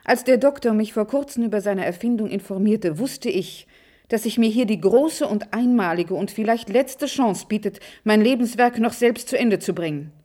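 A woman's voice: medium pitch, normal speed, warm tone, close to an everyday voice. An actress playing an old, commanding, impatient female leader in a radio play, speaking formally and insistently. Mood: pleading. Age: older.

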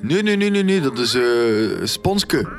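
A man's voice: mid-range voice, very animated, measured pace. A man with a Flemish accent playing a hesitant, folksy, complaining village innkeeper in a comic radio drama. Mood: baffled, helpful.

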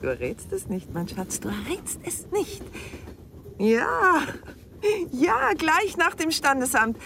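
An actress in a radio play playing an elegant, affectionate, teasing middle-aged bride. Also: female voice, high voice, sing-song, slow pace, vocal fry, sharp tone, a little hiss or hum, exaggerated voice. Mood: excited, delighted. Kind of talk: 1990s German radio play acting.

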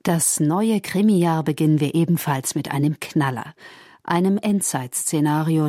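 A woman's voice: low voice, dark tone, dry recording. A woman radio presenter giving a scripted programme announcement in a studio. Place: dry broadcast studio.

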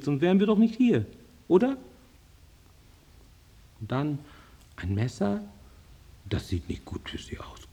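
A man's voice: high voice, a little mellow, slight background noise, exaggerated voice. An actor playing an agitated male psychiatric patient in a radio drama, defending himself in fragmented, insistent bursts. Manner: rambling, fragmented, insistent self-justification.

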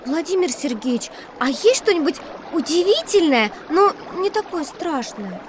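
A woman's voice: very high voice, expressive, moderate pace, background noise. A woman playing a sweet, curious, easily frightened little girl who loves animals, in a children's educational audio drama.

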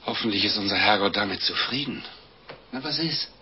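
An actor as a blustering monk in a noisy recording. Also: male voice, fairly low pitch, a little fast, lightly acted. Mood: grumbling. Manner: blustering, grumbling, easily distracted. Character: grumpy, gluttonous, simple-minded male monk and confessor. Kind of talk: German radio play acting. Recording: dull, noisy old radio recording.

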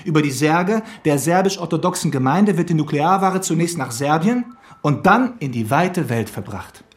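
An actor as a keen, higher-voiced male investigator. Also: lively intonation, normal speed, lightly acted. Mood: explaining. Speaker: actor in a crime radio drama. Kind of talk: German radio play dialogue.

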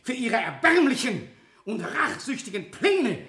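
A man's voice: extremely high pitch, very animated, a little slow, sharp tone, echoey room, exaggerated voice. A man acting a relentless, scornful public prosecutor in a 1970 German radio drama, with theatrical, insistent rhetoric. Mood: thundering accusation. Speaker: actor in a radio play.

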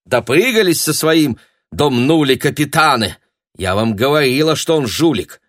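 A man's voice: on the low side, very animated, measured pace, slightly rough, no echo, studio quality, voice pushed up, heavily acted. A man voicing an outspoken, indignant female shop assistant in an audiobook, with a forthright, exasperated manner.

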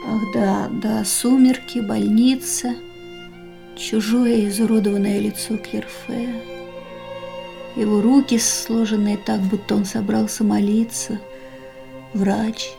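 An actress in a radio play, voicing a grieving young woman in a quiet, stunned inner monologue that often drops to a whisper. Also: mid-range voice, little pitch movement, takes it slowly, gravelly, faint music behind.

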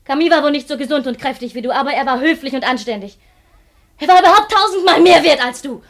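A bitter wife in a radio drama. Her voice sits fairly high, and she speaks in theatrical reproaches and taunts, full of scorn. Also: animated, quick pace. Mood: defiant contempt. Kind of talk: German radio play acting.

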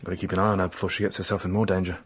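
A man's voice: sits low, flat delivery, racing, slightly rough. A man playing an earnest, protective young man in a radio drama, reassuring and coaxing but uneasy. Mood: awkward.

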